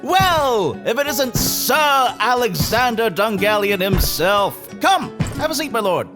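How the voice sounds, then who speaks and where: A man playing an effusively friendly, deferential gentleman hunter in a fantasy audio drama, chatty and flowery in speech. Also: high voice, sing-song, unhurried. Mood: effusive, ingratiating. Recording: voice over background music.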